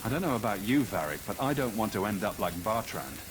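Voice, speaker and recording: A man playing a blunt, steady adventuring champion in a video game cutscene, speaking directly. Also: on the low side, fast, noisy background.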